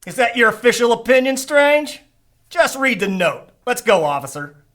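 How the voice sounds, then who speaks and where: Needling 1940s police lieutenant: high, bright, cutting voice, sarcastic, radio-noir style. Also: male voice, big pitch swings, moderate pace, exaggerated voice. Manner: pointed, sarcastic. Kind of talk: noir radio drama acting.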